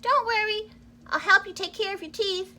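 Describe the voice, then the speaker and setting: A woman's voice: extremely high pitch, moderate pace, bright, forceful tone, a little hiss or hum, voice pushed up, heavily acted. A woman voicing a small, clever, helpful mouse in a children's storybook, chirpy and kindly. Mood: reassuring. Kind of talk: children's storybook read-aloud.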